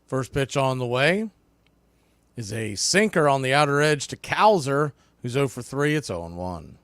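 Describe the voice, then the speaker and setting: A man calling baseball play-by-play live, in clipped pitch-by-pitch phrases. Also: lively intonation, moderate pace, fairly bright tone, dry recording. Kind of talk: live sports commentary.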